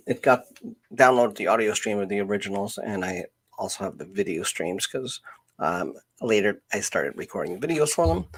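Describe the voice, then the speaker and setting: A man chatting unscripted as host of a live stream, halting and searching for words. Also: fairly low pitch, breathy edge.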